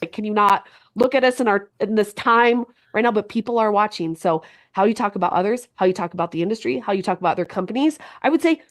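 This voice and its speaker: A woman's voice: mid-range voice, fast talker, few pauses. A woman with a head cold giving an upbeat, motivational coaching talk to her webinar audience.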